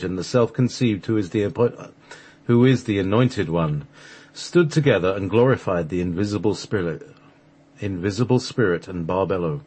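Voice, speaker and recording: A man reading scripted radio play narration in measured, solemn phrases, with a husky voice. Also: low voice, a little mellow. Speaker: radio show host and narrator.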